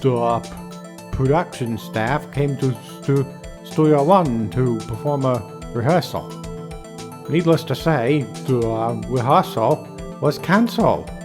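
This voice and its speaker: A man voicing a bumbling, nervous police detective who stutters haltingly, in a video game fan dub. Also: medium pitch, over music, close to an everyday voice. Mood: nervous, flustered.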